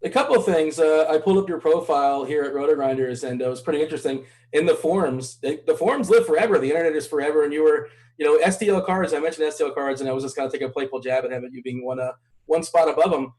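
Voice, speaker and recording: A man's voice: fairly high pitch, quick pace, darkish tone, rough recording. A man hosting a casual interview, asking questions in an upbeat, playful way. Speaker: host.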